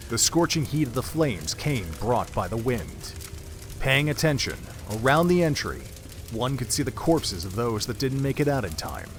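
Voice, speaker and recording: A man's voice: medium pitch, slight background noise. A man giving solemn, literary scripted narration for an audio drama.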